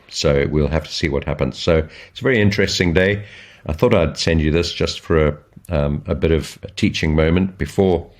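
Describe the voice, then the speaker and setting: A man giving informal, explanatory market commentary as a trading educator, in a measured, step-by-step way. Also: rumbling low. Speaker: trading educator, channel host.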